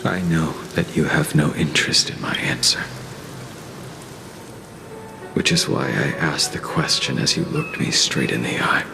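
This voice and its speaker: An actor playing a tormented, life-weary undying male swordsman in a video game, speaking gravely and in measured phrases. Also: very deep voice. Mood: bitter, anguished. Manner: grave, measured, somber delivery. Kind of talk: dramatic video game voice acting. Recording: music behind the voice.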